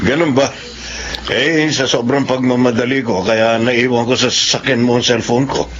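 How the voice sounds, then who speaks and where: A man's voice: medium pitch, flat delivery, moderate pace, crowd noise. Hearty generous rich man, radio drama. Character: generous wealthy businessman, Sir Zoilo. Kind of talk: 1970s-style radio drama acting. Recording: dull lo-fi radio recording with hiss.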